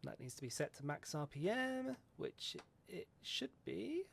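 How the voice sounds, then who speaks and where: Chatty gravelly-voiced man talking flight sim. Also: high-pitched, very animated, unhurried, a bit bright. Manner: chatty, swears, rambling, self-mocking. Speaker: flight sim video host. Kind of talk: casual talk over a flight sim checklist.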